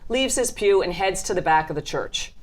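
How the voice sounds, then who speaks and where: A woman gives scripted narration, reading out stage directions at a table read. Her pitch sits a little on the low side. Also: expressive, normal speed.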